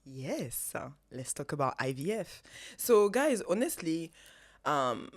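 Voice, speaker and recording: Low-voiced woman in her thirties, laughing often. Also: takes it slowly. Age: 30s. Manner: laughs often, animated and talkative. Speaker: podcast co-host. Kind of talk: casual chat.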